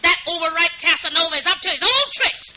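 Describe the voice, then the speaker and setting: A woman's voice: very high voice, lively intonation, fast talker. An actress playing a fierce, suspicious older mother-in-law who scolds and accuses in an old radio sitcom. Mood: indignant. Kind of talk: 1940s-50s radio sitcom acting. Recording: muffled, noisy vintage radio recording.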